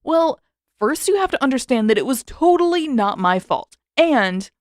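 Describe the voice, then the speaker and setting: A brash, dramatic teenage boy from a fantasy storybook, voiced by a woman. He is excitable and defensive, protesting loudly with big swings in pitch. The sound is dry and close. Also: moderate pace, no echo.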